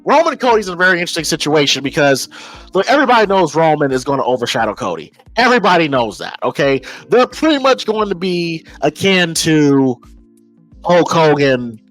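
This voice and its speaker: A man's voice: fairly high pitch, big pitch swings, fairly quick, fairly bright tone, soft background music, poor audio. An American man giving casual, emphatic opinion commentary as a podcast host, informal and often repeating phrases as he thinks aloud.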